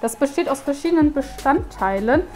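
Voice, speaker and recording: A woman chemistry teacher with a high voice explains a demonstration step by step. She speaks in a science classroom, with faint music behind. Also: expressive, brisk, a little mellow.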